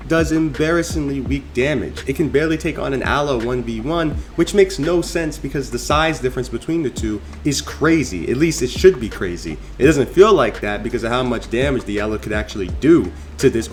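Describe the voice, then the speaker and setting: A man with a medium-pitched voice delivers opinionated video game commentary. He talks conversationally and leans hard on his points with emphasis. Music plays clearly behind his voice. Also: animated, normal speed, hardly any pauses. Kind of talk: opinionated tier list commentary.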